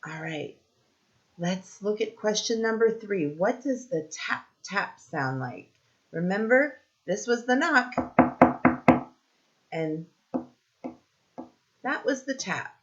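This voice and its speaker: A woman teacher leading a read-aloud lesson for young children, asking simple questions, pausing for answers and encouraging them. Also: mid-range voice, animated, highs cut off.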